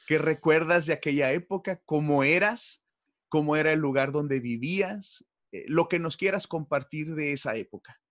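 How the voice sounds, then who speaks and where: A man's voice: on the high side, moderate pace, fairly bright tone, no room sound, studio quality. A man with a Mexican accent hosting a conversational interview, speaking politely and somewhat hesitantly as he sets up his questions. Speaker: interview host.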